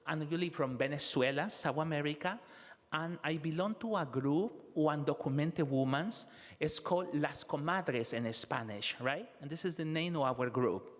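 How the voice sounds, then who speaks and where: A man interpreting testimony in the first person, halting and filling pauses with "eh" and "huh?", at a city council hearing. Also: a little high, in a large hall. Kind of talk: consecutive interpretation of public testimony.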